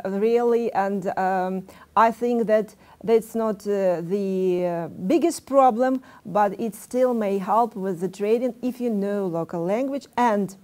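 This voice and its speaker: A woman moderating a business panel, asking questions in halting speech with many fillers. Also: mid-range voice, a little mellow.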